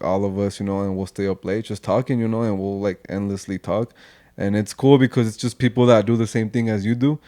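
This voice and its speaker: A man's voice: low-pitched, dark tone, clear, resonant tone. A young man from Mexico, a podcast guest chatting casually, laid-back, filling his talk with "like" and "man" and slipping into Spanish now and then. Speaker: podcast guest, social media creator. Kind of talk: casual chat.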